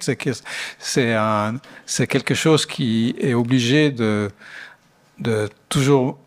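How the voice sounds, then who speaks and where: Older man, hesitant, halting. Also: mid-range voice. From Switzerland. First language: Italian. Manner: hesitant, many fillers and restarts. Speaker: architect giving a lecture. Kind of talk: lecture Q&A answer.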